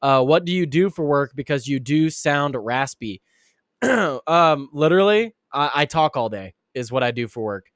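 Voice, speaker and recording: Male live-show host with a raspy, slightly sick voice, mid pitch, rambling delivery, dry close sound. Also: lively intonation, moderate pace, fairly bright tone, no room sound. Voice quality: raspy, deeper than usual. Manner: rambling, many restarts and fillers. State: sick, clearing throat and coughing. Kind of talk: casual live-stream commentary.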